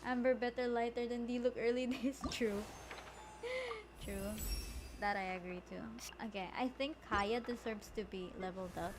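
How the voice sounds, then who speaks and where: A woman's voice: fairly high pitch, steady tone, moderate pace, noisy background. A woman giving casual, playfully dramatic commentary as she streams a game. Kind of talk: casual live gaming commentary.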